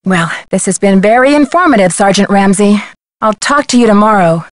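An actress voicing an earnest, determined young American amateur detective in a video game, speaking clearly, politely and insistently. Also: female voice, mid-range voice, animated, fairly quick, no echo, clean studio sound, natural voice. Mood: cool, polite.

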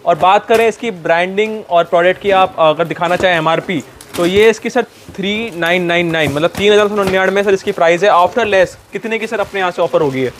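Brief: male host with a high voice, upbeat salesy warehouse walkthrough, faint background noise. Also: brisk, fairly bright tone. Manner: upbeat sales patter, addresses viewers directly. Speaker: YouTube host. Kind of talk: promotional warehouse tour.

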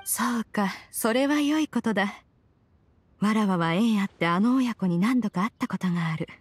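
A woman's voice: medium pitch, expressive, normal speed, slightly rough. A woman voicing a proud, ancient immortal spirit in a Japanese game, aloof yet caring, with haughty, archaic phrasing. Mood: composed, approving. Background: music.

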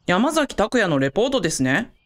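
A woman's voice: mid-range voice, sing-song, a little fast, close to an everyday voice. A woman playing a composed, dutiful assistant in a radio drama, polite and professional as she explains things. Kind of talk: scripted radio drama acting.

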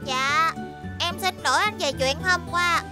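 Very high-pitched earnest little kid. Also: measured pace. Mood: earnest, sorry. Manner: earnest, childlike. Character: eager, apologetic little boy vehicle character, adventurous. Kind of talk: dubbed children's cartoon acting. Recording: music behind the voice.

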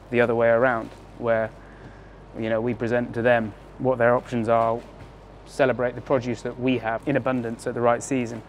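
A man's voice: mid-range voice, slight background noise. A man who supplies produce, explaining his work earnestly and step by step, with plenty of fillers. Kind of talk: explanatory interview.

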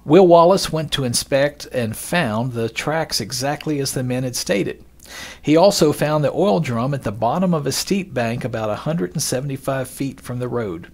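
A man narrates from a script in a medium-pitched voice. His delivery is measured and expository, like a podcast narrator laying out an account, and he clearly announces the passages he quotes. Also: expressive.

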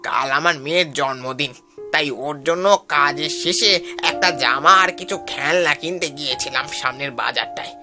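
Humble ghost of a village carpenter, played by a man, higher-pitched, polite and pleading, cartoon horror, clear music underneath. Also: moderate pace, lightly acted. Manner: polite, plaintive, earnest. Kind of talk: animated horror story voice acting. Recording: music behind the voice.

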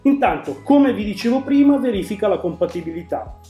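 A man talks to camera as a tech host. His voice sits high for a man, and he explains things conversationally. The room is echoey and music plays underneath. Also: big pitch swings, a little fast, lo-fi sound. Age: 40s. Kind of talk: casual product presentation to camera. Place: small room at home, indoors.

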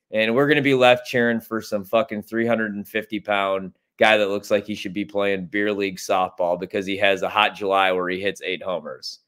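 A man's voice: fairly low pitch, a bit bright. Blunt, rambling American man talking sports. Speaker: guest sports betting analyst. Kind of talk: casual sports podcast chat. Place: home kitchen, on a video call.

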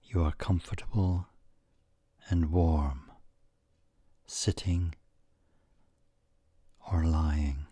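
Male meditation guide: deep and husky, soothing, measured short phrases with pauses, breathy near-whisper endings. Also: steady tone, warm tone, no room sound.

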